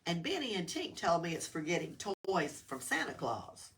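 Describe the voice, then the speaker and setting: A woman voicing a small, excitable, curious male lizard in a children's storybook, exclaiming in a wide-eyed way. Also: lowish voice, moderate pace. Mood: confused. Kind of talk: children's storybook read-aloud. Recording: distant mic with faint room noise.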